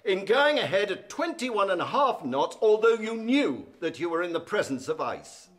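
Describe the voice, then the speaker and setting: A formal, accusatory union barrister at a shipwreck inquiry in a radio drama. His voice sits fairly high and swings widely in pitch. He is dogged and insistent, pressing every point. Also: big pitch swings, normal speed, a bit bright. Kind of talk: courtroom radio drama acting.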